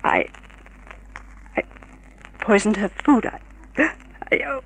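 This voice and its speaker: A woman's voice: medium pitch, huge pitch swings, slow, fairly bright tone, a little hiss or hum, exaggerated voice, rough recording. An actress playing a cool, scheming woman in a 1950s radio crime drama, composed and theatrical. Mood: dying, confessing. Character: cool, scheming female poisoner in love.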